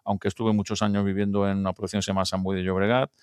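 A man's voice: on the low side, flat delivery, rapid-fire, a little mellow, clear, resonant tone, dry recording. A man from Barcelona, a radio amateur guest, telling stories in a chatty, rambling way with frequent laughs and restarts. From Barcelona, Spain. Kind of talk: casual interview storytelling.

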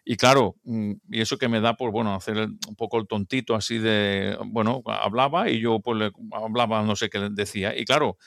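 A man from Barcelona speaks as an interview guest, telling his story in a chatty, rambling manner full of laughs and restarts. His voice sits low for a man. The recording is dry and close. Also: fairly even intonation, a little fast, vocal fry, hardly any pauses, a bit bright, no room sound. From Barcelona, Spain. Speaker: radio amateur guest.

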